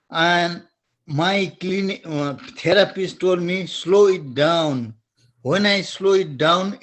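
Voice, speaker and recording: A middle-aged man from Nepal with a strong Nepali accent who speaks in halting phrases, restarts often and searches for words while asking a question in an online webinar. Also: a little high.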